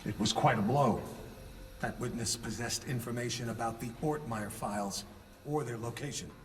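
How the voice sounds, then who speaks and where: An actor playing an embittered, proud older intelligence chief in a video game cutscene, speaking with deliberate, sardonic authority. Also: male voice, mid-range voice, slight background noise, lightly acted. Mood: grave, controlled.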